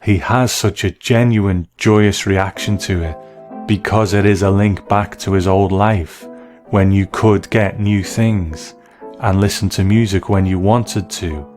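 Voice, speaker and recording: A man with a low voice delivers scripted commentary as a host. He is measured and appreciative in his analysis, with faint music underneath. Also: a little mellow. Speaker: host of a TV episode commentary show.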